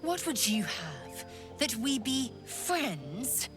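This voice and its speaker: A woman's voice: on the high side, sing-song, drawn-out words, over music, exaggerated voice. An actress playing a proud, fierce alien female warrior in a dramatic video game, blunt and contemptuous, with a haughty, clipped, formal way of speaking.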